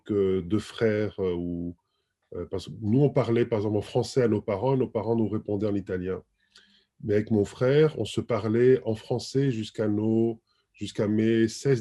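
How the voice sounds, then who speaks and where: A middle-aged man answering interview questions thoughtfully, with many pauses and fillers. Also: on the low side, mellow. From France. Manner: thoughtful, hesitant with frequent fillers. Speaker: guest writer and publisher.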